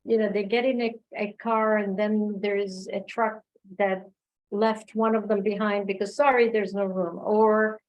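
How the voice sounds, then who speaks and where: A woman giving thoughtful, reflective answers as a guest speaker in an online discussion, pausing as she finds her words. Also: mid-range voice, level tone, darkish tone, rough recording. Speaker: guest speaker, filmmaker and oral history researcher. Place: remote online video call.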